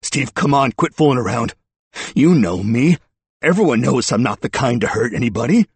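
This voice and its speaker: A man's voice: medium pitch, sing-song, moderate pace, gravelly, breathy edge, no echo, pitched above the performer's own voice, exaggerated voice. A man voicing a blustering, cornered middle-aged man who turns from indignation to begging, in an audiobook story. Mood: desperate pleading. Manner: loud, blustering, breaking into begging.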